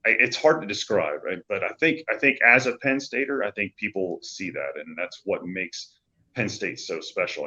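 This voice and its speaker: A middle-aged man with a very deep but bright, forceful voice speaks as an interview guest. He is effusive and conversational, circling back over his phrases, on slightly dull audio. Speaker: public address announcer and podcast guest. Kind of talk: casual interview answer.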